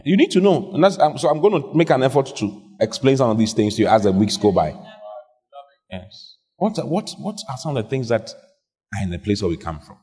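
Animated preacher in a reverberant hall. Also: male voice, medium pitch, slightly rough. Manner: animated, call-and-response with the congregation. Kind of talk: lively sermon. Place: church hall.

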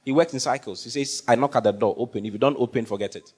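A man's voice: medium pitch, fast talker, darkish tone, husky. A man preaching a sermon, repeating phrases for emphasis and questioning his congregation. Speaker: pastor.

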